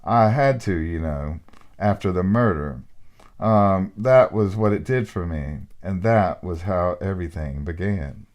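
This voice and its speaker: A man reading aloud and voicing a calm, serene angelic male spirit who speaks gently and without hurry. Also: deep voice. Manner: gentle, unhurried, composed.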